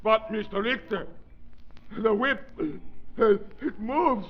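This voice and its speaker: An actor playing a superstitious, servile henchman who stammers haltingly, in 1930s radio drama style. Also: high voice. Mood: frightened. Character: superstitious, frightened male henchman, servile brute. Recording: dull, lo-fi 1930s radio recording.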